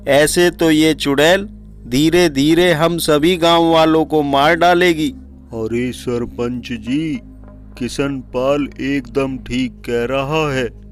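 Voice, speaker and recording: A man voicing a worried, pleading villager in a horror cartoon. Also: on the high side. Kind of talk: Hindi cartoon character dialogue.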